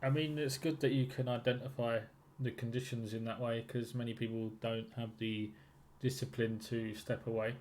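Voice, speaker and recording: A man's voice: mid-range voice, level tone, normal speed, darkish tone. A man working as a trading mentor, asking probing questions and giving affirming feedback in a casual one-to-one coaching call.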